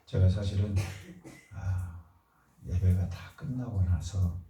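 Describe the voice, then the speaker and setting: Deep mellow pastor guy, bit hoarse w a cold, far off in a big echoey church. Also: slow, a little hiss or hum. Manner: fervent formal prayer, then plain chatty announcements. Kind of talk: sermon prayer and announcements. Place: church sanctuary.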